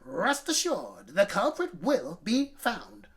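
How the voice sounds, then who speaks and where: A man's voice: high-pitched, wildly swooping pitch, slow pace, fairly bright tone, exaggerated voice. A man voicing a stern, commanding woman schoolteacher in a children's storybook, growling out demands. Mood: stern menace. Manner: growling, demanding, clipped orders.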